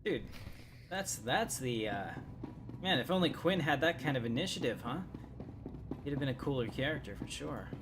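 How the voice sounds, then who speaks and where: A man's voice: mid-range voice, lively intonation, normal speed, pauses often. A man giving casual, informal gameplay commentary as a streamer, thinking aloud as he plays. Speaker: gaming streamer, channel host. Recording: loud music behind the voice.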